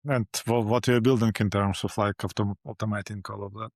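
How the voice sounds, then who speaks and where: A man's voice: on the low side, steady tone, brisk, a little mellow, dry recording, studio quality. A man hosting a podcast interview, asking questions conversationally and rephrasing them as he goes. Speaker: podcast host.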